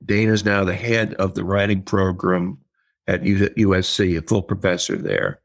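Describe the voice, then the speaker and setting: An older man, an author and writing teacher, talking in a casual interview, thoughtful and halting, with many fillers and repeated words. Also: low voice, creaky.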